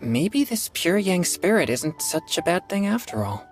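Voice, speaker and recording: A woman voicing a calm, polite, reserved teenage boy in a video game, with measured delivery. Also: on the low side, soft background music. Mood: politely declining. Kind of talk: animated video game character acting.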